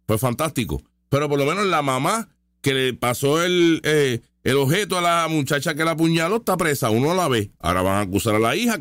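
A man rants sarcastically as he comments live on clips. His pitch sits fairly high and jumps around a lot. The sound is dry and close, with no room around it. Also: a bit bright, no echo. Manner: sarcastic, heated and argumentative. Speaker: online commentary host.

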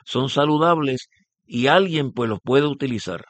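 A man's voice: medium pitch, normal speed, no echo. A man hosting a business radio show, interviewing a guest and often rephrasing his questions with fillers. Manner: repeats and rephrases, many fillers.

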